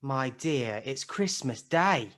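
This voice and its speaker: A woman playing a gentle, hopeful father in a radio play, speaking in a tender, measured way. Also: extremely low pitch, lively intonation, exaggerated voice. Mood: mild, earnest. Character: gentle, hopeful working-class father of a family.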